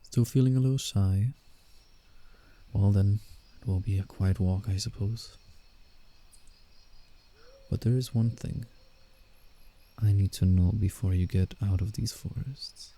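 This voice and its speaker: A man playing a commanding, teasing alpha werewolf in a roleplay ASMR, with menace in his delivery. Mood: probing, firm. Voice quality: dark, gravelly low voice. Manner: slow, deliberate, teasing menace. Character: male alpha werewolf, predatory, teasing, commanding forest protector.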